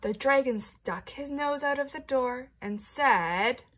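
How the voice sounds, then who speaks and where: A woman teacher reading a picture book aloud to young children, telling the story expressively and slipping into hushed, whispered asides. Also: sits high, slow, heavy background noise.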